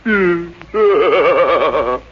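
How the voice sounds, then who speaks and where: A sarcastic, indignant bill collector in vintage radio comedy. His high voice swoops with incredulous mockery. The old recording sounds dull. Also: expressive, extremely slow, slight background noise. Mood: mocking. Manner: incredulous, mocking. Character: sarcastic, indignant mortgage collector, gloating and mocking. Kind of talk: 1950s radio comedy acting.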